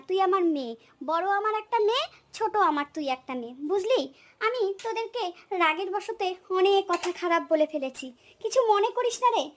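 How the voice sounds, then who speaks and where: A woman voicing an older, doting mother-in-law in a comic cartoon, emotional and effusive, drawing out her endearments. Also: very high voice. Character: doting older Bengali mother-in-law, scolding but soft-hearted.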